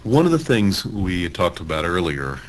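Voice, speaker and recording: A man hosting a talk show who introduces his guest formally and asks questions in a halting way, with many uhs. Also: low voice, very animated, a little hiss or hum. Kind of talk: interview hosting.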